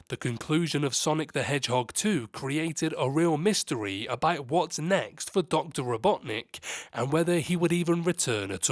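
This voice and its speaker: A man's voice: mid-range voice, dry recording, clean studio sound. A man presenting a scripted news narration as the host of a movie channel.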